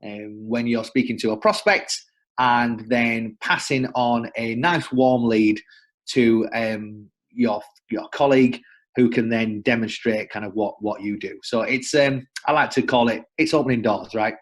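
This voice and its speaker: A man's voice: medium pitch, fairly even intonation, a little slow. A young man from the north of England with a northern English accent, chatting as a podcast guest from home over a remote call, friendly and a little self-deprecating. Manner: chatty, self-deprecating, repeats words as he thinks. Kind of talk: casual podcast chat.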